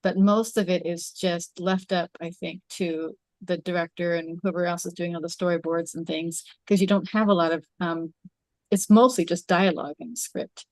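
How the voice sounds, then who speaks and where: Lower-voiced woman, dry close sound. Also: flat delivery, normal speed, no room sound. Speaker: table read participant. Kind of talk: casual explanatory discussion.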